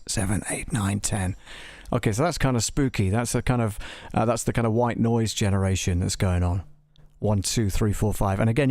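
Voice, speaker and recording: A man hosting a live software walkthrough, talking casually and tentatively, thinking aloud as he tests things. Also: fairly low pitch, no echo, studio quality. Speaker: livestream host demonstrating audio software.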